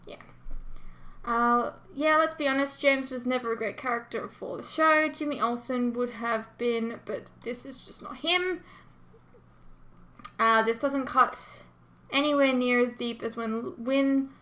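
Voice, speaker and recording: Female reaction host, Australian accent, high pitched and bright, rambling, self-deprecating casual delivery. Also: a little slow. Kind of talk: casual chat to viewers, reading and answering comments.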